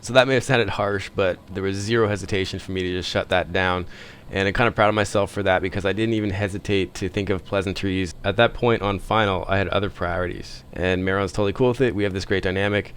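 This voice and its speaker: A man's voice: deep voice, fairly even intonation, fast, fairly bright tone, a little hiss or hum. A man narrating a vlog as a pilot, chatty, informal and self-deprecating. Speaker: private pilot and video host.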